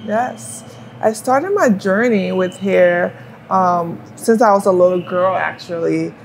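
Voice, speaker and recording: A woman hairstylist from Liberia talks through her work in a relaxed, conversational way, often checking in with "you know" and "right." A faint hiss sits under the recording. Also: fairly low pitch, takes it slowly, vocal fry. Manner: warm storytelling with fillers and rhetorical "you know". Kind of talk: casual chat while styling hair.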